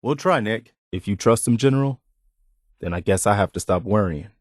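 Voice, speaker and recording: Low-voiced, earnest wasteland militia officer played by a man. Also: moderate pace, dry recording, clean studio sound, lightly acted. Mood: steady, concerned. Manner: sincere, plainspoken, folksy, dutiful. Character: earnest, steadfast male militia officer companion in a post-apocalyptic wasteland. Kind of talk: video game voice acting, companion dialogue.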